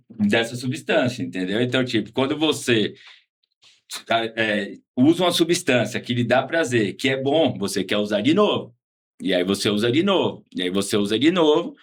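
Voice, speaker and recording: A man from Salvador with a Bahian accent, talking casually as an interview guest, relaxed and slangy, often ending with tag questions. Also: medium pitch. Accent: Bahian Portuguese. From Salvador, Bahia, Brazil. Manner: laid-back slangy talk with frequent tag questions. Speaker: guest, former patient.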